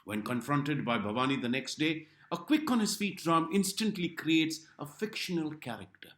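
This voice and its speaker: A man reading a scripted review in a wry, dramatic manner with deliberate pauses. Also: a little high, expressive, roomy sound, noisy background. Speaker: film review narrator. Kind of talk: scripted narration.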